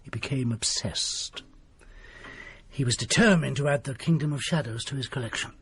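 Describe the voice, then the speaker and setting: An actor playing a secretive country doctor who tells a grim tale in a grave, hushed manner. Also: male voice, medium pitch, moderate pace, husky. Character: desperate, secretive middle-aged male country doctor. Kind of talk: period radio drama acting.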